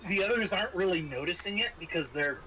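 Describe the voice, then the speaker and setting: A man's voice: sits high, expressive, fast, background noise. A man narrating a tabletop horror game as game master, in a conversational, descriptive storytelling way.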